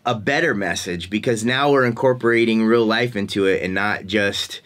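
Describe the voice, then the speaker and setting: A man's voice: fairly low pitch, normal speed, fairly bright tone. A man in his forties hosting a podcast, rambling casually and laughing easily. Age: 40s. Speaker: podcast host. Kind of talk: casual interview chat.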